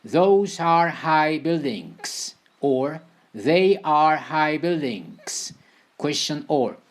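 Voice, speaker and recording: A man reading a scripted beginner language lesson as a teacher, deliberately delivering short example sentences phrase by phrase. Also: fairly high pitch. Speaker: English teacher.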